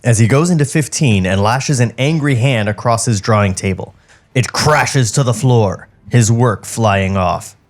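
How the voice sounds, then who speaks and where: A man's voice: medium pitch, unhurried, faint music behind. A man co-hosting a podcast, chatting casually and reading out stage directions from a script. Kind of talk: casual chat and script narration. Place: podcast studio.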